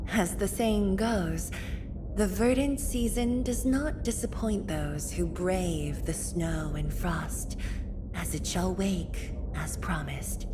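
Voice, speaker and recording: A woman playing a gentle, studious young scientist in a video game, speaking in a courteous, poetic and softly earnest way. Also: medium pitch, close to an everyday voice. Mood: soft resolve. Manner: measured, softly earnest, formally polite. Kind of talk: scripted video game character voice lines.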